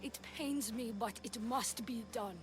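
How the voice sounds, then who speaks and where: A woman playing a proud, imperious high priestess of ancient Greece in a video game, with a formal, theatrical delivery. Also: measured pace, background noise, lightly acted. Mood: grieving. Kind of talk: video game cutscene acting.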